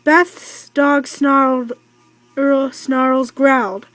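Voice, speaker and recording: Young female amateur storybook reader, high voice, slow halting delivery with stumbles. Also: level tone, fairly bright tone, dry recording, faint music behind. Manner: halting, stumbles and repeats words. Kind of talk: read-aloud of a children's storybook.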